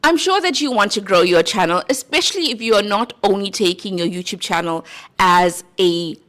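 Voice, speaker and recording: A woman's voice: mid-range voice, animated, moderate pace, fairly bright tone. A woman with a South African accent, talking casually to camera about growing a channel, earnest and conversational, often checking in with "right?". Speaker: YouTube creator. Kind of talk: casual talking-head advice.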